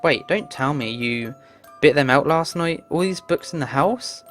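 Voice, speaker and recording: A woman's voice: low-pitched, lively intonation, measured pace, soft background music. A woman voicing a drowsy, exasperated, teasing friend in a visual novel, with grumbling retorts.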